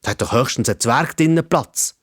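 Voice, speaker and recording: A man voicing a curious, easily amazed old-fashioned gentleman from the past in a children's story, with a formal, wondering way of talking. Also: mid-range voice, animated, moderate pace, husky, dry recording, clean studio sound.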